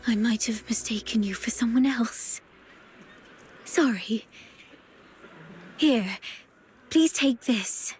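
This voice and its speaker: A woman playing a gentle, wistful young florist in a video game, speaking politely and hesitantly. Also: a little high, slow, pauses often, faint music behind. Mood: soft, wistful. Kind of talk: soft dramatic video game voice acting.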